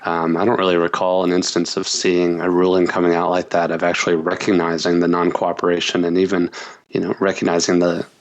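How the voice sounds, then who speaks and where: A man, a journalist and author, answering interview questions in a measured, thoughtful way. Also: deep voice, completely flat delivery, highs cut off.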